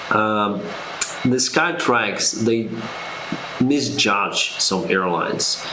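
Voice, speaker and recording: A man from Ukraine with a Russian accent answers viewer questions on a live stream. He speaks slowly and haltingly, with a lowish voice and a lot of "uh" and "um." The audio is echoey, with a steady background hiss. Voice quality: slow, halting speech with fillers. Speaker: airline pilot and streamer.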